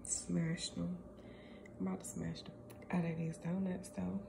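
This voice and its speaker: A woman's voice: on the low side, flat delivery, unhurried. A woman giving casual, slangy commentary as she cooks at home, filming on a phone. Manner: informal, slangy, pleased murmuring asides. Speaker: home cook vlogger. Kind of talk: casual cooking commentary while working. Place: home kitchen, indoors. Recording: clearly noisy home phone video.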